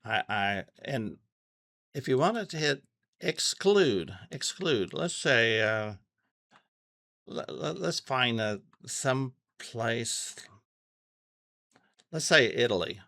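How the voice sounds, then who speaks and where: A man giving a casual, unscripted tutorial, thinking aloud haltingly and repeating phrases as he goes. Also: mid-range voice, lively intonation, pushed and bright, no echo, studio quality. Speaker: amateur radio tutorial host. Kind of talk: casual unscripted screen walkthrough.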